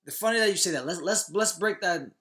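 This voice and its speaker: A man's voice: sits high, fast talker, sharp tone. A man in his thirties chatting casually as a podcast co-host, with an informal, slangy way of talking. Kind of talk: casual chat.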